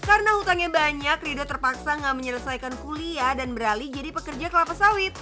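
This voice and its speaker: A woman's voice: high-pitched, lively intonation, fast talker. A woman, narrating a scripted TV magazine feature in a playful, chatty way. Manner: playful, chatty, addresses viewers as boss. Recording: music bed under the voice. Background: background music.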